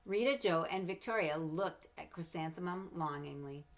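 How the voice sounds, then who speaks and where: Slightly low-voiced woman reading a children's story. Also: normal speed. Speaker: storybook reader. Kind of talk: expressive children's picture-book narration.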